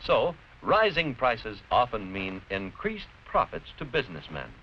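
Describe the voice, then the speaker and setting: On a muffled, slightly noisy vintage classroom film soundtrack, an American man narrates from a script. His mid-pitched voice moves with animated intonation. He explains each point in a deliberate, instructive way. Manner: didactic, deliberate, clearly explanatory. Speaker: narrator-presenter of an educational film.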